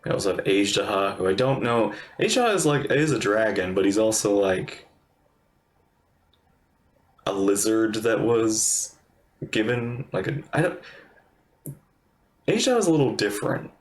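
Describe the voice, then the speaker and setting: A man doing casual live commentary as a game streamer, laid-back and halting as he thinks aloud. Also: on the low side, expressive, roomy sound. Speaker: game streamer reacting to cutscenes.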